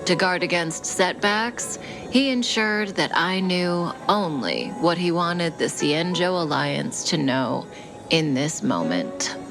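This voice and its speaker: An actress playing a composed, enigmatic young woman outlaw in a video game, unhurried and coolly teasing with soft phrase endings. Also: lowish voice, animated. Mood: calm, explaining. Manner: unhurried, deliberate, coyly knowing. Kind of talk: video game character dialogue. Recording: studio voice over game music.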